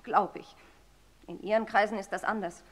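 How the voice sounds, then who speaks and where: An actress playing a patient's upset wife in a radio play, accusing and agitated. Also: female voice, medium pitch, steady tone, moderate pace, close to an everyday voice. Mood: reproachful. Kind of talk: German radio play acting. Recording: long reverberant hall sound.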